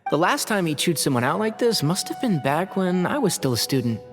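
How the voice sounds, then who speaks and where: A thoughtful young student in a game, measured and observant. His voice sits slightly high with lively movement over faint music. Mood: calm. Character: young male student, thoughtful and level-headed.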